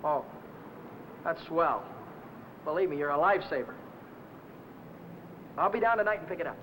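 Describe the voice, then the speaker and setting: An actor playing a restless young auto mechanic short of money, with an American accent and breezy, slangy talk in old crime film dialogue. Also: male voice, fairly high pitch, expressive, moderate pace, fairly bright tone. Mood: eager, friendly. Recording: noisy old 1950 film soundtrack.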